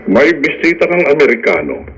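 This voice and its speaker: A man's voice: on the high side, very animated, moderate pace, music in the background, rough recording. A man playing a stern, insistent father of a grown daughter in a radio drama, admonishing her.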